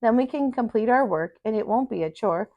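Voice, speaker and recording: Lady doing a little kid in a storybook, high voice, earnest, kinda begging and sorry. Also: animated, fairly quick, highs cut off. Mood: pleading. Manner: earnest, pleading. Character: pleading, apologetic young schoolchild.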